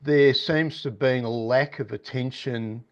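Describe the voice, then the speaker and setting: A man's voice: medium pitch, fairly even intonation, measured pace. A man with a mid-range voice joins by video call, and the audio is dull and lo-fi. He asks his question in a chatty, wry way. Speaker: audience member.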